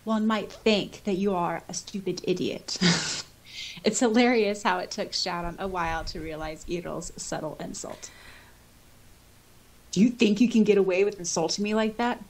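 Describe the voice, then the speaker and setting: Animated woman chatting on a podcast. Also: medium pitch, normal speed, low-quality recording. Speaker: podcast co-host. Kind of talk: casual podcast chat mixed with reading listener comments aloud.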